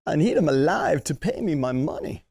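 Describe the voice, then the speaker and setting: A performer at a script table read playing a menacing, impatient mob boss with a husky, gravelly voice. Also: medium pitch, big pitch swings, normal speed, a little mellow, full character voice. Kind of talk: table reading of a comedy script.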